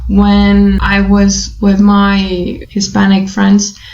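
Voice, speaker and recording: A woman's voice: medium pitch, completely flat delivery, very slow, a little mellow. A young Brazilian woman whose first language is Portuguese, thinking aloud in a casual webcam vlog with many fillers. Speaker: vlogger. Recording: echoey room, dull webcam audio.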